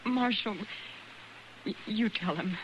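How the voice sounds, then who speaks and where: An American actress playing an anxious, ashamed frontier wife who stammers timidly in a radio western. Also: female voice, medium pitch, takes it slowly, fairly bright tone. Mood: pleading. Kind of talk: 1950s radio western acting. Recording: muffled, noisy old radio recording.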